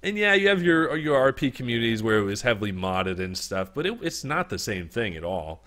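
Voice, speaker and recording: A man's voice: medium pitch, big pitch swings, a little fast, a bit bright. A man hosting a casual livestream interview, rambling with many restarts and frequent laughs, and a hint of a New York accent. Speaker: interview host.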